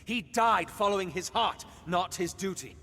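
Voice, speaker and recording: A man's voice: high-pitched, normal speed, creaky, a bit bright, big-room sound, slight background noise. An actor playing a passionate, blunt male companion in a dramatic video game, speaking in an emphatic, confronting way. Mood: confronting, heated.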